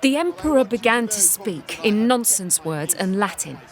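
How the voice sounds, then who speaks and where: A woman's voice: mid-range voice, very animated, normal speed. A woman playing a cheeky, reluctant storyteller, narrating a satirical unfairytale with wry, informal asides. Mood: dramatic narration.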